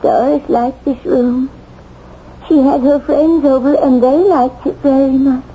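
A woman playing a fragile, addled older mother, wistful and halting, in a 1970s radio drama. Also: high-pitched, steady tone, dark tone, highs cut off. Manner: slow, halting, dreamy. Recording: thin, noisy 1970s radio broadcast.

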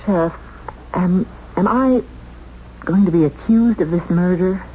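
A woman's voice: on the low side, measured pace, lightly acted. A woman acting an anxious but proud murder suspect in a 1970s radio drama, dramatic and earnest, with pointed questions. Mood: startled, anxious. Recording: dull, noisy 1970s radio drama recording.

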